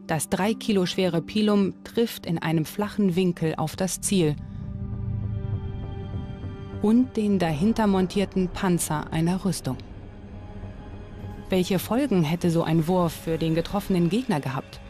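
A woman presenting a history documentary in scripted, clearly articulated and explanatory narration. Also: on the low side, a little slow, pauses often, over music. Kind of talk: scripted documentary narration with on-location interview questions.

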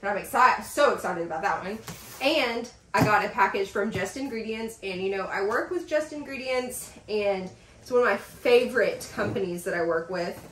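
A woman in her thirties chatting in a casual vlog as she unboxes packages in a home office, gushing and excited, with lots of fillers. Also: medium pitch, moderate pace, distant mic, slight background noise. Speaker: vlogger. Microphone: camera across a desk. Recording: roomy sound from off the mic.

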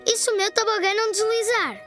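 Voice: extremely high pitch, fast talker, sharp tone. An actor voicing an eager, curious little four-year-old boy in a children's cartoon, with a European Portuguese accent. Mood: unsure. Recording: music behind the voice.

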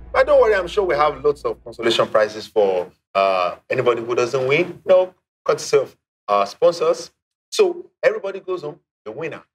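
A middle-aged man hosting a television quiz show in a studio, theatrical, drawing out key words, on a lapel mic. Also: fairly bright tone. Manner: theatrical, swings pitch and drags out key words. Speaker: game show host. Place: indoor TV studio set. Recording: faint music behind.

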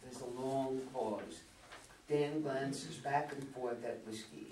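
A man's voice: medium pitch, fairly even intonation, takes it slowly, a little mellow. An older man reading stage directions as scripted narration at a play reading. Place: meeting room with long table, indoors. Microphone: far camera. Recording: roomy, distant mic, background room noise.

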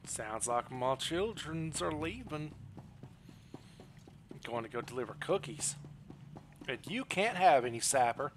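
A man's voice: fairly high pitch, expressive, measured pace, pauses often, noisy background. A man streaming a game with casual live commentary in a playful, teasing manner. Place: indoor room at a streaming setup. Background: game music.

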